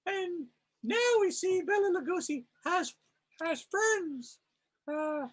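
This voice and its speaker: A woman with a very high voice describes scenes in a slow, improvised fashion. She sounds wry and amused, casual in her narration. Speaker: narrator of a comic reading.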